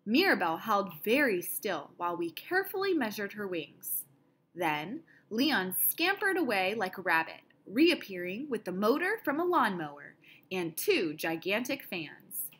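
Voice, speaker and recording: A woman reading a children's picture book aloud in an expressive style, with deliberate, dramatic phrasing. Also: mid-range voice, breathy edge. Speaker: storybook reader.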